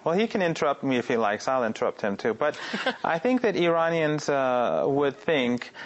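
A man's voice: mid-range voice, lively intonation, moderate pace. A man speaking as a panel guest in a debate, with hesitant restarts and firm contradictions. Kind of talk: heated debate.

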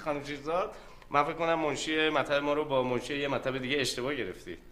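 An actor playing a polite but impatient dentist trying to examine a patient. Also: male voice, on the high side, fairly bright tone, a little hiss or hum, close to an everyday voice. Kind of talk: sitcom acting.

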